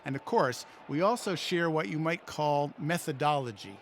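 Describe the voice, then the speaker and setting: An actor playing a folksy, cheerful, smug extremist militia leader in a satirical audio play, pitching his cause with glib, eager patter. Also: animated, normal speed, slight background noise. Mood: smugly zealous.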